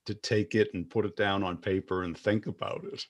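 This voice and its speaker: Low-voiced man hosting a podcast. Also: fairly even intonation, fairly quick. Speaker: podcast host. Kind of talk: casual interview chat.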